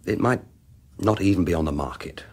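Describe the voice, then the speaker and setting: An actor playing a weary but fond British husband in a naturalistic radio drama, dry, exasperated and grumbling. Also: male voice, low voice, brisk, husky. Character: grumbling, weary, fond young English husband, city professional.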